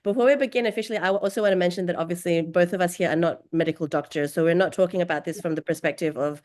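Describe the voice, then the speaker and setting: A woman hosting an interview in a warm, encouraging way, sprinkling her speech with Arabic phrases. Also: lowish voice, steady tone, racing. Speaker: host. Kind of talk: casual interview talk.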